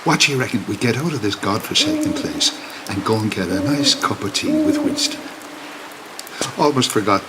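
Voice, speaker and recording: An actor playing a wry, brave priest in a video game, with an Irish accent and a dry, folksy, unflappable manner. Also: male voice, mid-range voice, wildly swooping pitch, moderate pace. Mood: wry, calm. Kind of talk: video game cutscene acting. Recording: noisy, with rain behind.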